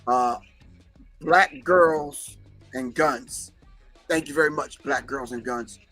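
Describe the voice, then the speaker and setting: A man's voice: mid-range voice, takes it slowly, sharp tone, faint music behind. A man whose first language is not English, hosting a livestream and preaching his points emphatically while answering chat comments. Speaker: host.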